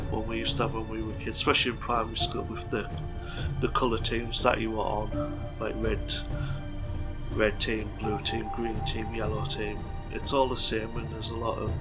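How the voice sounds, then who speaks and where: A man hosting a film review, talking plainly and informally in halting phrases, part scripted. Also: fairly low pitch, monotone, poor audio. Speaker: vlog host. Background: music.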